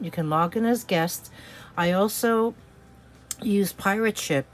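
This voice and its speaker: Slow-talking New York woman seller. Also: lowish voice. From New York City. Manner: chatty, hesitant, many fillers. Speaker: resale seller. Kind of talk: casual product showing vlog.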